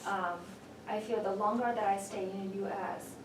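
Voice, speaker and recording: A woman with a Chinese accent gives earnest advice on a university panel, her delivery level and unvaried. She sounds far from the mic. The room echoes, and noise is clearly audible under her voice. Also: medium pitch, steady tone, moderate pace. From China. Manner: earnest, with frequent "um"s.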